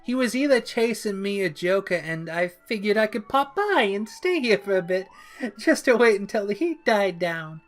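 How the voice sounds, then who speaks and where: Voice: mid-range voice, sing-song, moderate pace, soft background music, voice pushed up, natural voice. A narrator voicing a bubbly, mischievous, childlike young villainess who exclaims, teases and pouts playfully in an audiobook-style story. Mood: sheepish. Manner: exclaiming, teasing, playfully pouty.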